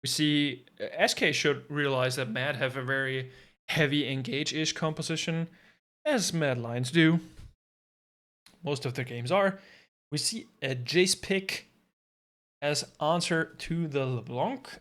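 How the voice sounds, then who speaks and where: A man's voice: fairly high pitch, a little slow, fairly bright tone. A man giving casual live commentary on an esports match from his home setup, thinking aloud and adding self-deprecating asides. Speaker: esports co-streaming caster.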